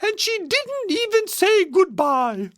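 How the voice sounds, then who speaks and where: A fussy, indignant mother bear from a children's storybook. The voice sits very high and swings widely as she scolds. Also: very animated, slow, no room sound, clean studio sound, heavily acted. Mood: offended.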